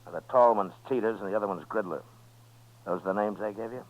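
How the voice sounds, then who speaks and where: Calm, laconic US marshal, male actor, very low voice, American, vintage radio western, dull old recording. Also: lightly acted. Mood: firm, steady. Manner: laconic, measured, understated. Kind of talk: 1950s radio western acting and narration.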